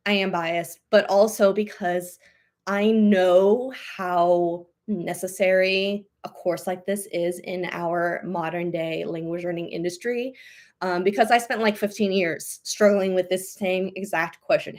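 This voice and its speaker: A woman in her thirties hosting a live question-and-answer stream as a language coach, talking casually and upbeat with lots of "um" and "like". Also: lowish voice. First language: English. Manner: chatty, upbeat, many fillers like "um" and "like".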